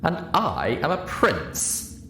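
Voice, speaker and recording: A man's voice: a little high, wildly swooping pitch, slow, sharp tone, pitched above the performer's own voice, heavily acted. A man voicing a vain, haughty elderly unicorn stallion prince with a pompous manner in an audiobook story. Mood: dismissive. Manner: pompous, self-admiring. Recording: roomy echo, clear background hiss.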